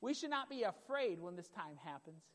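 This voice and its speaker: A man preaching a sermon with a loud voice, emphatic and repetitive, building in intensity. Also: sits high, very animated, moderate pace. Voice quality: loud voice at times. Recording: long hall reverb.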